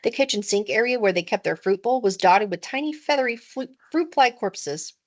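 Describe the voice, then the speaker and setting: Animated woman reading a children's story. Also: on the high side, normal speed. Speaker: teacher reading aloud. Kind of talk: expressive children's book narration.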